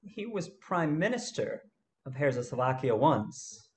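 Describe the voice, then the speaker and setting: A man playing an easygoing gold-hunting adventurer in a radio play, telling his story in a halting way. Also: mid-range voice, big pitch swings. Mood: amused storytelling.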